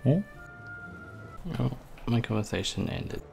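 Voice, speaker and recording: A man's voice: medium pitch, slow, gravelly, music in the background. A man casually commenting while playing a game, joking and muttering reactions to the screen. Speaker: gameplay commentator. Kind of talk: casual let's-play commentary.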